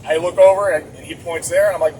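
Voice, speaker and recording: Chatty trucker, road noise. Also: male voice, on the high side, fairly even intonation, fast talker, darkish tone. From Boston area. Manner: rambling, chatty, self-mocking. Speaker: truck driver. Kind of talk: casual vlog while driving. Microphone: camera mounted inside the cab. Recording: distant mic with road noise. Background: engine and road noise.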